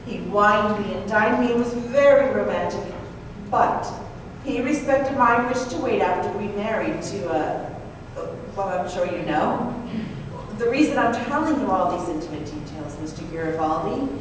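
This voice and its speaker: A woman playing a troubled, sheltered, rich young wife in an old-time radio drama, prim and halting with melodramatic touches. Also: medium pitch, animated, lightly acted. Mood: demure, confiding. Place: live stage performance in an echoey room. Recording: echoey hall, distant mic, clear noise.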